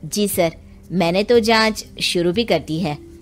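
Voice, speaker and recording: A woman's voice: medium pitch, slow pace, slight background noise, close to an everyday voice. Woman as an earnest, respectful police inspector. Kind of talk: Hindi animated moral story dialogue.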